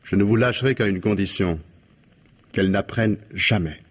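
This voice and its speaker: An actor playing a stern, implacable police investigator in 1950s French radio mystery style, commanding and deliberate, with a husky voice. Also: male voice, lowish voice, close to an everyday voice. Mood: stern, menacing. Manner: commanding, deliberate, articulate, dictating orders.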